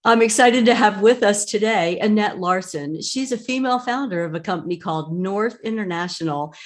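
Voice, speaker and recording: A woman's voice: mid-range voice, brisk. A woman hosting a podcast, interviewing a guest in a conversational style.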